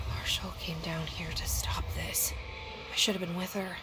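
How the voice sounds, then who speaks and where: A woman's voice: on the low side, flat delivery, moderate pace, lightly acted. A woman playing a determined, guilt-ridden agency leader in a video game, with a terse, blunt, introspective delivery. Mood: regretful, resolved. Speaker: game protagonist. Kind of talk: cinematic video game acting. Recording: loud game music behind.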